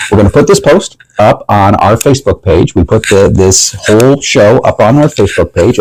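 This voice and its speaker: A man's voice: medium pitch, darkish tone, rough recording. A man hosting a casual tasting interview in a folksy, chatty way, talking to his guest and plugging promotions. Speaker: show host.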